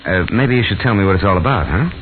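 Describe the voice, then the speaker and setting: Deep-voiced hard-boiled American detective. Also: male voice, animated, fast, slight background noise. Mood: dry, confronting. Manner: world-weary, tough-talking, wisecracking. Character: hard-boiled, cynical male private detective. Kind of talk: 1940s radio drama narration and acting. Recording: muffled 1940s radio broadcast with loud music.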